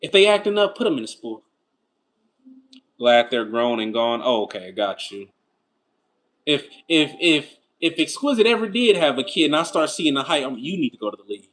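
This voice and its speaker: A man's voice: big pitch swings, fairly bright tone. Slangy man in his thirties chatting. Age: 30s. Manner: loose and slangy, with rhetorical why-questions and quick pitch jumps. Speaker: livestream host. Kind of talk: casual livestream chat, reading viewer comments.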